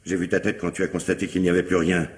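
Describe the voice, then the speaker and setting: An actor in a French radio mystery drama playing a calm, reproachful man warning a deceived woman. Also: sits low, racing, natural voice.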